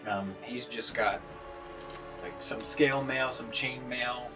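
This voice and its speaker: A man's voice: mid-range voice, slow, music in the background. A man improvising narration as the game master of a casual tabletop role-playing session.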